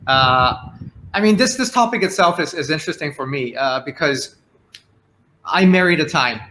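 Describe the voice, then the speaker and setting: A man's voice: on the high side, animated, normal speed, pushed and bright, a little hiss or hum. A man from Hong Kong hosting a live panel discussion, introducing guests with many fillers and repeated words. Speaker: host, moderator.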